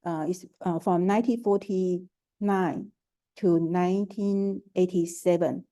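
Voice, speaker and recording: A woman with a Taiwanese accent talks at an unhurried, measured pace, often stopping to hesitate or restart a word. Her tone is very dark and warm. She sounds like an artist presenting a prepared talk over a video call. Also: fairly low pitch, steady tone. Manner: careful and halting, with frequent hesitations and restarts. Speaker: artist, curator and art educator. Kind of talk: prepared talk at an online forum.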